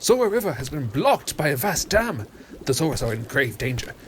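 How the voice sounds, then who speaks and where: A man's voice: medium pitch, big pitch swings, a little fast, a little hiss or hum, natural voice. A man playing an earnest, courteous winged birdman in a fantasy audio drama, with a formal way of speaking. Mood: urgent. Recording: music under the voice.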